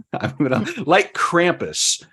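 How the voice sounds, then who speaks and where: A man's voice: fairly high pitch, sing-song, takes it slowly, sharp tone, breathy edge. A man hosting a podcast in casual, playful banter with a jokey, theatrical way of talking. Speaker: podcast host.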